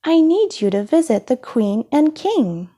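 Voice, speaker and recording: A woman's voice: sits high, sing-song, measured pace, mellow, pitched above the performer's own voice. A woman voicing an earnest, determined young girl explorer in a children's storybook.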